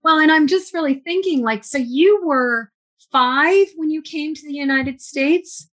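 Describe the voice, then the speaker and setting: A woman hosts a podcast interview with a slightly raised pitch. She asks questions with eager enthusiasm. She also affirms her guest warmly and often. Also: unhurried. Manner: enthusiastic, affirming, many mm-hmms. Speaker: podcast host. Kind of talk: casual interview conversation.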